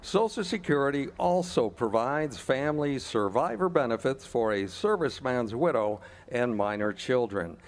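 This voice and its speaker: A man works as a radio-style announcer and emcee. His mid-pitched voice moves in lively, wide swings as he reads his scripted copy. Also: normal speed, few pauses.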